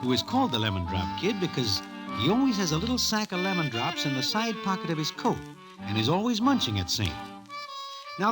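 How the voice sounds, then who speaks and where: Lively mock-formal New storyteller. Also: male voice, fairly high pitch, low-quality recording. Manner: mock-formal slang in present tense, no contractions. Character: Broadway, genial male racetrack hanger-on and storyteller. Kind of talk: old-time radio drama narration. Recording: vintage radio broadcast recording.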